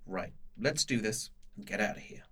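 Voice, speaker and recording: A man playing a brisk, secretive scientist who explains things in a controlled, persuasive way in an audio drama. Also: fairly low pitch, animated, fairly bright tone, breathy edge, heavily acted. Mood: secretive urgency. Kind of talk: naturalistic audio drama acting.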